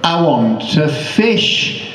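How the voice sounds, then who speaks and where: Bloke doing a stern old woman in a comic poem, really slow and stubborn, roomy echo. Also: a little high, very animated, a bit bright, exaggerated voice. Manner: slow, stern, deliberate repetition. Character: stubborn old lady on a walking frame. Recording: roomy echo, distant microphone.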